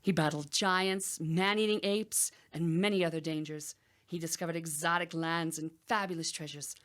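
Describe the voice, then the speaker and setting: A woman's voice: lowish voice, fairly even intonation, fairly bright tone, natural voice. An actress playing a clever, composed queen, deferential and soothing as she spins tales in an audio drama. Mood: artful storytelling. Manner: soothing, deferential, measured storytelling. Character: composed, clever young queen spinning tales to calm her lord.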